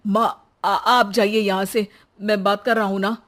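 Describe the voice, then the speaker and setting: A woman's voice: mid-range voice, flat delivery, moderate pace, fairly bright tone, natural voice. A woman voicing an exasperated young husband who speaks emphatically in a cartoon family story. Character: exasperated young husband defending his mother.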